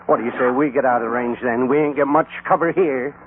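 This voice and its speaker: An actor playing a grumbling, folksy old cowboy sidekick with an Old West drawl, in an old radio western. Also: male voice, mid-range voice, quick pace, close to an everyday voice. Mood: excited. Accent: American Old West drawl. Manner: folksy, cantankerous, exclaiming. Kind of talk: 1940s-50s radio western acting. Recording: tinny, hissy old radio recording.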